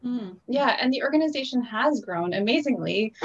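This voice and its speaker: A woman hosting a podcast interview over a video call, measured and grateful toward her guests. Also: on the high side, expressive, lo-fi sound. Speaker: podcast host.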